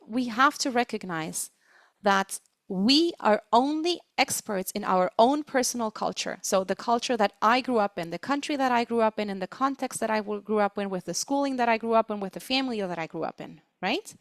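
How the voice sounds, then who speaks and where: A woman giving an explanatory webinar lecture as a trainer, speaking deliberately and stressing her key words. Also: fairly high pitch, lively intonation, no room sound. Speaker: webinar presenter, interpreting trainer.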